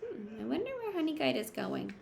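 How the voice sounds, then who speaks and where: A woman's voice: high voice, very animated, a little fast, vocal fry, crowd noise. A woman reading a picture book aloud like a teacher, expressively, with simple explanatory asides for children.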